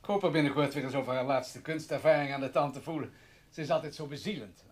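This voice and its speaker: Dutch radio drama: courteous, diplomatic newspaperman played by a male actor, slightly husky, formal and conciliatory. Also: fairly high pitch, fast, close to an everyday voice. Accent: Dutch, Netherlands. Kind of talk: Dutch radio play acting.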